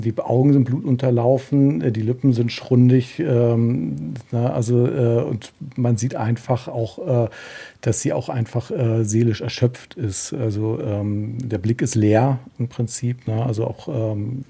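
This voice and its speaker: Man, very raspy, dark tone, casual expert commentary, hesitant. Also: medium pitch, steady tone, a little slow. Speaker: author, podcast guest. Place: indoors in a museum.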